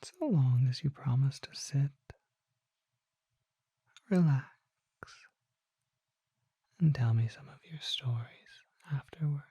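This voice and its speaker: A performer voicing a courteous, curious old vampire shopkeeper in a breathy, intimate ASMR roleplay, mostly in whispers, with genteel phrasing. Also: mid-range voice, mellow, no echo, heavily acted. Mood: coaxing, curious. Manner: slow, genteel, formal phrasing.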